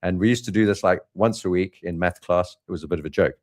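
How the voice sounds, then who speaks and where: Low-voiced man hosting a live trading stream. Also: fast talker. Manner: relaxed, rambling with frequent fillers and restarts. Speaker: trading channel host. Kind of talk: live market update with co-host.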